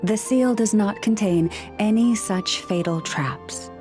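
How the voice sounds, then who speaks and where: A composed young woman guide, voiced by an actress in a game cutscene, speaking in a calm, reassuring way. Her voice sits at an ordinary middle pitch. Soft game music runs faintly underneath. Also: slow pace, natural voice. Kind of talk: video game cutscene dialogue.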